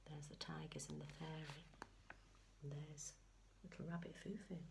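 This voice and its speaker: A woman reading a picture book aloud to young children, mixing expressive sing-song lines with hushed chatty asides. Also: sits low, normal speed, gravelly, background noise. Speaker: infant school story reader.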